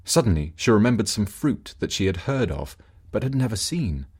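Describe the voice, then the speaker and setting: Husky male storyteller with swooping intonation. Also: fairly low pitch, big pitch swings, moderate pace. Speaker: storybook narrator. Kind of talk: scripted fable narration.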